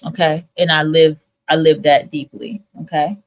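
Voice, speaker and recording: A woman's voice: sits low, steady tone, normal speed. A woman host talking casually and candidly to her listeners in an emphatic, repetitive, motivational monologue, swearing freely. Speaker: host of a spiritual advice livestream.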